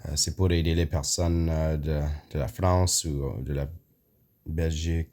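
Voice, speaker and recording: Deep slow english canadian guy doing an app tutorial, lots of ums, throat clearing. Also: steady tone, a little mellow. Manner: hesitant, many fillers, mixes in English words. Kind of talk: casual screen walkthrough.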